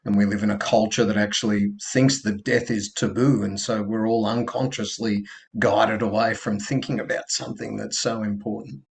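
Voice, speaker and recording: Calm man with a low, gravelly voice. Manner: calm, measured and earnest. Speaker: co-host of a live conversation show. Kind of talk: reflective conversational talk.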